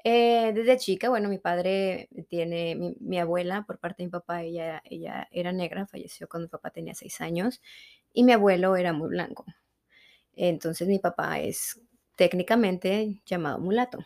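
A woman with a Mexican accent, speaking on the low side of her range. As an interview guest she tells her story with reflection and animation, mixing personal memories with her views. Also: normal speed, vocal fry, darkish tone. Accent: Mexican Spanish. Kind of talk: conversational interview.

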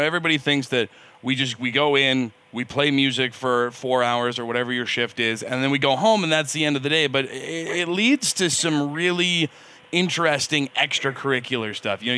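A man hosting an interview, asking questions in a relaxed, joking way. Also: mid-range voice, smooth. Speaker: radio interview host. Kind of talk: podcast interview.

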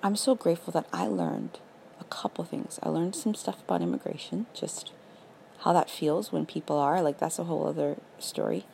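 Raspy woman podcaster, young adult, gravelly low voice, mellow tone, earnest faith encouragement, faint hiss behind. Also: lively intonation, moderate pace. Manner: earnest, encouraging, many "like"s and "literally"s. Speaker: podcast host. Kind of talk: casual faith podcast monologue.

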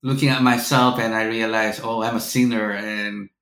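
A man's voice: lowish voice, little pitch movement, normal speed, a bit bright. A middle-aged man from the Philippines with a Filipino accent, telling his own story conversationally as a podcast guest. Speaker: seminary professor, podcast guest. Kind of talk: conversational personal storytelling in an interview. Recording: roomy, echoey sound.

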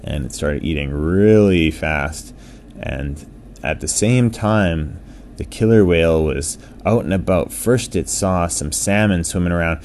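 A low-voiced man tells a bedtime story to a child at an unhurried pace. His tone is soft-edged and mellow, his phrasing simple and repetitive, over a faint background noise.

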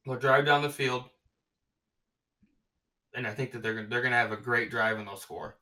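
Excitable man hosting a sports podcast. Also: medium pitch, normal speed, far from the mic. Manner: excitable, gushing, restarts mid-sentence. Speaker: podcast host. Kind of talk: casual sports prediction chat.

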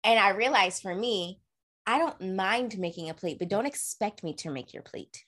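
Woman, young adult, mid-range voice, podcast host, blunt, expressive, casual chat. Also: moderate pace. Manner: blunt, expressive, acts out quotes in a higher voice.

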